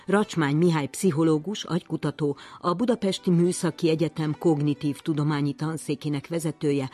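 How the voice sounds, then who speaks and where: A woman's voice: low voice, darkish tone. A woman hosting a science programme, presenting and asking questions as an interviewer. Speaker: host, interviewer.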